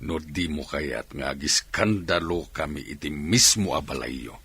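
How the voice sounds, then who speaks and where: A Filipino man acting a domineering, hot-tempered middle-aged father in a radio drama, scolding forcefully. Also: very deep voice, normal speed, breathy edge, heavily acted. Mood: stern, angry. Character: domineering, hot-tempered father forcing his daughter to marry.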